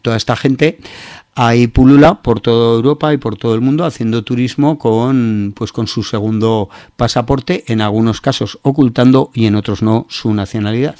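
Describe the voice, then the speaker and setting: A man's voice: medium pitch, moderate pace, a little mellow, no room sound, clean studio sound. A man with a Peninsular Spanish accent hosting a radio show, giving opinionated news commentary in an informal, hesitant way.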